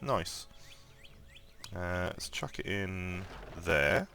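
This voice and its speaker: A man with a croaky morning voice giving casual gameplay commentary, thinking aloud and rambling with lots of ums and restarts. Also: deep voice, animated, very slow, sharp tone, soft background music. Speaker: mobile game let's-play host.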